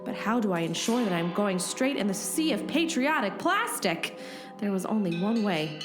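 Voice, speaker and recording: A woman's voice: medium pitch, very animated, normal speed, lightly acted. A woman playing an earnest, determined heroine, narrating her rescue dramatically in a comedic audio drama. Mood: suspenseful. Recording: loud music bed underneath.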